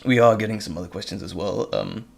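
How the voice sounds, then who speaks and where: A man's voice: lowish voice, fairly even intonation, fast, vocal fry. A man from Belgium with a Flemish accent whose first language is Dutch, hosting a live stream in casual, stop-start chat and laughing often. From Flemish region of Belgium.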